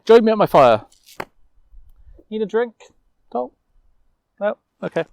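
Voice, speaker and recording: A male troubadour-style storyteller with a fairly high voice, recorded very dry. He speaks informally and a little hesitantly, easing into measured fantasy narration. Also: big pitch swings, vocal fry, no room sound.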